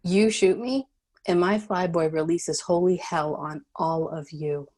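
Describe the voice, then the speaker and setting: A tough female fighter, acted by a woman with a slightly low voice. She is sardonic, cool and challenging, in the manner of a drama table read. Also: a little slow, darkish tone. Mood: defiant. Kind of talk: screenplay table read acting.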